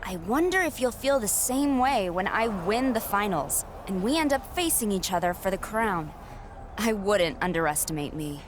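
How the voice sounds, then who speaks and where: A woman's voice: medium pitch, expressive, moderate pace, a little hiss or hum, lightly acted. A woman playing an ambitious, self-assured female wrestler in a video game cutscene, with a dry, cocky manner. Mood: defiant.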